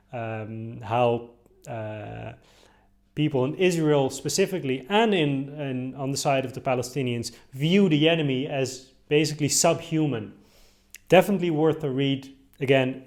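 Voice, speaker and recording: Dutch-raised man, hesitant video commentary. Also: mid-range voice. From Netherlands. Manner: hesitant, many fillers and restarts. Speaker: video host commenting on clips. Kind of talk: casual commentary.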